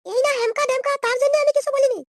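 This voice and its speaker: A man's voice: very high-pitched, steady tone, rapid-fire, dry recording, clean studio sound. A man voicing a brash, scolding, scheming young woman in a comedy cartoon. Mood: indignant, explaining. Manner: scolding, threatening, slangy insults.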